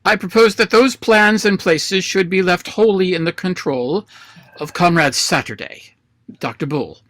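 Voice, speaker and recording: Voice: medium pitch, measured pace, a bit bright, no room sound, close to an everyday voice. An actor in a radio play reading, voicing an imperious, scornful president of an anarchist council in a pompous, drily mocking way. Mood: commanding, grave. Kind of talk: radio play read aloud. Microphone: video call.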